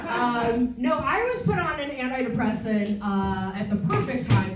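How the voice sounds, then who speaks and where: A woman in her forties works a stand-up crowd, slipping bawdy punchlines in with a flat deadpan and loose filler ums. Her voice sits fairly high and carries through a reverberant club room, caught at some distance from the mic, with a clear hum of noise underneath. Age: 40s. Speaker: stand-up comedian. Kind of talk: stand-up comedy set. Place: comedy theatre stage before a live audience.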